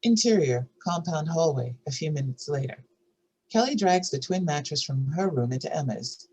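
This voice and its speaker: A woman with a husky voice reads scripted narration and stage directions for a drama table read. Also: deep voice, animated, normal speed, darkish tone, poor audio.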